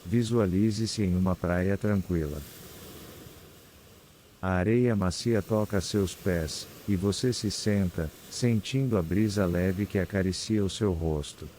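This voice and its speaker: A man with a Brazilian accent guides a scripted meditation in a calm, soothing, unhurried manner with long pauses. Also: low voice, a little hiss or hum. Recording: clear background ambience of ocean waves. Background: sea waves ambience.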